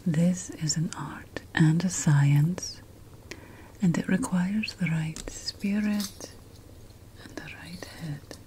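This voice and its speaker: A woman playing an ancient, wry and fussy witch mentor in a slow, breathy whispered ASMR fantasy roleplay. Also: on the low side, steady tone, mellow, a little hiss or hum. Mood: fussy, instructive. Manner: slow, deliberate, wry, theatrical. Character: ancient Mesopotamian witch mentor, teasing, fussy about plant remedies.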